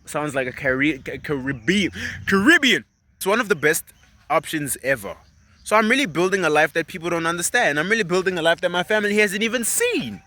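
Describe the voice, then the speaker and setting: A young man from South Africa, a vlogger talking in an animated, emphatic and persuasive way in casual motivational chat. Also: fairly high pitch, brisk. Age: 20s.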